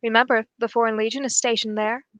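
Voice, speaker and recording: A woman's voice: fairly high pitch, little pitch movement, quick pace, fairly bright tone, lightly acted. A woman playing a keen, assured male English detective in a radio drama, speaking in a formal, analytical way.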